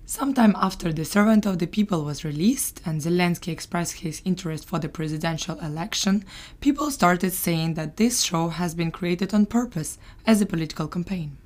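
A woman's voice: lowish voice, animated, moderate pace, darkish tone. A woman from Ukraine co-hosting a podcast in a scripted narration style. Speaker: podcast co-host.

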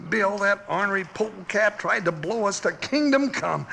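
A man playing an excitable, folksy sidekick in a 1950s radio western, with a high, raspy voice and an American Western drawl. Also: moderate pace, slight background noise, full character voice. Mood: excited, suspicious. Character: excitable folksy old-West sidekick of the marshal.

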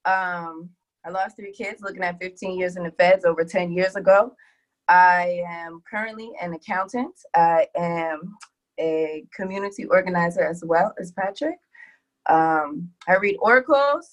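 A woman's voice: on the low side, a little slow, poor audio. A woman from the South, speaking candidly as a podcast guest, hesitant and full of ums. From Deep South of the US. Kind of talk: casual introduction.

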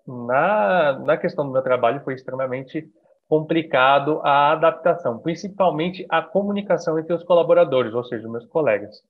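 A man in his thirties speaks in a plain, matter-of-fact way about changing careers. The sound is thin and tinny, like a phone call. His pitch sits at a middle level. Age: 30s. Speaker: university student. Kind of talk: testimonial interview.

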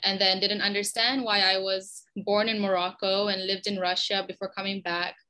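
A young Malaysian woman in her twenties, answering as a podcast guest in a chatty, easygoing way. Her voice is bright and clear, at an ordinary pitch, and she often stops to restart a sentence. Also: moderate pace. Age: 20s. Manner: chatty and lively, with frequent ums and restarts. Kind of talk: conversational interview answers.

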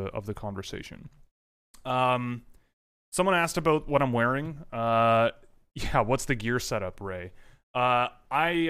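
A man's voice: medium pitch, fairly bright tone, smooth, no room sound. A young man hosting a casual hockey livestream, talking off the cuff with lots of fillers and restarts. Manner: rambling, many fillers and restarts. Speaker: hockey prospect livestream host.

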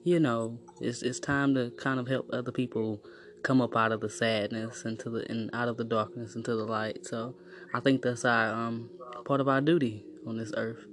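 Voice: mid-range voice, little pitch movement, moderate pace. A guest from Illinois in casual conversation, speaking in an encouraging, affirming way. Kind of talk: casual chat.

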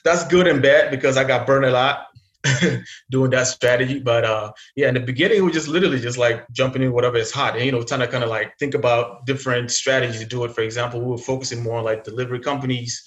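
A man's voice: medium pitch, steady tone, fast, echoey room. A man in his thirties from South Sudan, whose first language is Arabic, chatting casually as an interview guest with many fillers and restarts. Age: 30s. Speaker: guest investor.

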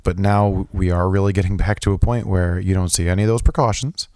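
A young man co-hosting a casual podcast chat, his voice low and a little hoarse from being sick, rambling with lots of restarts and fillers. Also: steady tone, fairly quick, darkish tone, dry recording, clean studio sound. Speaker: podcast co-host.

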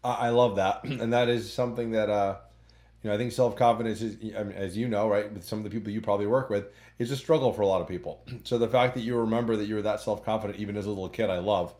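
A man hosts a podcast with a fairly low voice, easing into his questions with plenty of "you know" and false starts. He sounds friendly and casual, circling a question before finally landing on it. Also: steady tone, fast talker, darkish tone. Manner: rambling, many restarts and fillers, clears throat. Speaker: podcast host. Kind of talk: conversational interview.

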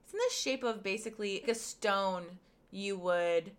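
A woman's voice: mid-range voice, unhurried, smooth. A woman hosting a casual podcast monologue, speaking frankly with many fillers while she is losing her voice. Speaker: podcast host and pleasure coach.

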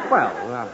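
An actor playing a blustering, exasperated middle-aged husband in a 1940s radio comedy, on a hissy old broadcast. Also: male voice, lowish voice, highs cut off, exaggerated voice.

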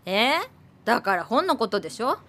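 A high, very lively voice for a sharp-tongued screenwriter daughter. She is sardonic and blunt, answering back with resentment in a naturalistic radio drama. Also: heavily acted. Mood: sarcastic, irritated. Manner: sardonic, blunt, quick to retort.

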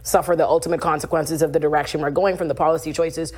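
A woman's voice: deep voice, fairly even intonation, fast, darkish tone. A woman from the Bahamas speaking conversationally and diplomatically, a little tentative, as a panelist on a talk show. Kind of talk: conversational discussion.

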